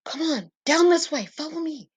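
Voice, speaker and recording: A woman acts out an urgent young psychic heroine in an audio drama. Her voice is raised in pitch and commanding, that of someone leading others out of danger. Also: lively intonation, normal speed. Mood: urgent, guarded.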